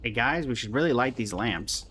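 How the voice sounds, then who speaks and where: A man's voice: medium pitch, lively intonation, normal speed, bright, forceful tone, a little hiss or hum. Wry young man talking to chat. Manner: wry, teasing asides to chat. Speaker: streamer reacting to a game. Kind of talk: casual reaction commentary, reading dialogue aloud.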